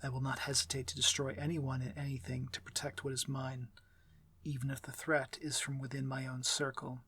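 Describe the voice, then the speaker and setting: A man playing a soft-spoken, commanding vampire lord. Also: medium pitch, flat delivery. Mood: quiet menace. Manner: formal, measured, softly breathy, often near a whisper. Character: courteous, commanding male vampire lord of a manor. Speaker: audio roleplay voice actor. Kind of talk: slow, intimate ASMR fantasy roleplay.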